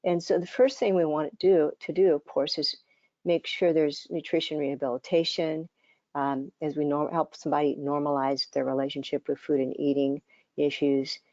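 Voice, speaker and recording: An older woman, around seventy, giving a conversational conference presentation as a dietitian, her voice scratchy and hoarse, with many fillers and restarts. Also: low voice, level tone, moderate pace, darkish tone, no room sound, lo-fi sound.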